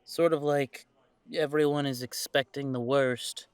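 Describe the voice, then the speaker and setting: A man's voice: medium pitch, fairly even intonation, unhurried, clear, resonant tone, no room sound, close to an everyday voice. A man playing an anxious, good-natured character who is easily intimidated, speaking hesitantly and with exasperation in an audio drama. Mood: uneasy. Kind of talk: audio roleplay drama acting.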